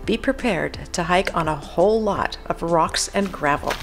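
A woman's voice: lowish voice, normal speed, over music. Older woman narrating a hiking guide. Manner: informative, guide-like. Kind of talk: scripted voice-over narration.

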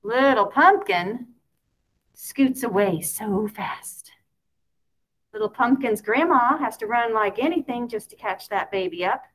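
A woman reads a story for small children in a fairly high voice. Her delivery is expressive and sing-song, stretching out the important words. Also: measured pace, rough recording. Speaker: picture book reader.

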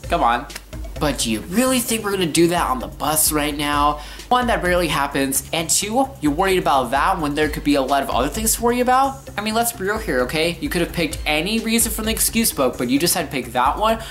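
A man's voice: on the high side, very animated, fast talker, fairly bright tone. A young male vlogger telling a story casually in a living room. He rants with sarcasm and exaggeration, and faint music plays behind him. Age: teenager to young adult.

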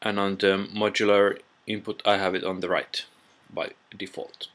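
A man narrating an informal software tutorial in a halting, step-by-step way, recorded on a built-in computer microphone. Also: sits low, flat delivery. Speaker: tutorial presenter.

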